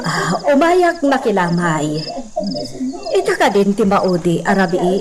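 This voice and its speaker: An actress as a genteel old grandmother of a landed family in an Ilocano radio drama. Her voice is mid-pitched, slow and admonishing, picked up slightly away from the mic among crickets. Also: sing-song, recorded from a distance, crowd noise. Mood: polite, grave. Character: elderly grandmother, genteel landowning lady, wary and admonishing.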